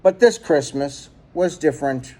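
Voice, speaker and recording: A man's voice: fairly high pitch, animated, a little slow, mellow, slight background noise. A man reading a children's picture book aloud as a storyteller.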